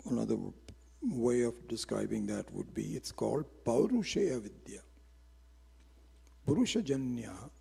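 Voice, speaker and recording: Mellow, husky-voiced man lecturing in a hall. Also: medium pitch. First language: Hindi. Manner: reflective and unhurried, pausing often with restarts and fillers. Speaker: Vedanta teacher. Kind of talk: informal spiritual lecture.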